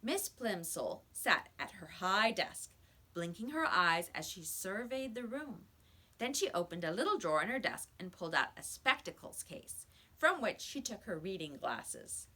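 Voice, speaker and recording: A woman's voice: medium pitch, big pitch swings, unhurried, pushed and bright, slightly rough. A woman reading a children's story aloud like a teacher, with emphatic, dramatic storytelling.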